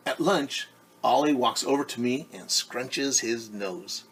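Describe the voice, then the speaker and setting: Guy teacher doing story time for kids, slow pace, mid-range voice, explains stuff as he goes. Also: medium pitch, fairly bright tone, husky. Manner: stumbles over and corrects words when reading Spanish; wonders aloud and explains to young listeners. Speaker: teacher reading a picture book aloud. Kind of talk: bilingual story time with commentary.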